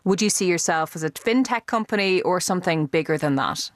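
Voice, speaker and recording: A woman's voice: fairly low pitch, animated, fairly quick, no room sound, studio quality. A woman radio presenter delivering scripted introductions and putting interview questions to a guest.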